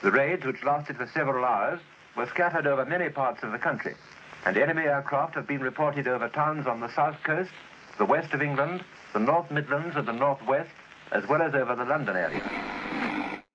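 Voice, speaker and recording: A man reads a wartime news bulletin formally. His voice is bright and crisp. The old broadcast sounds muffled with faint hiss. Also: mid-range voice, normal speed. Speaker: radio newsreader.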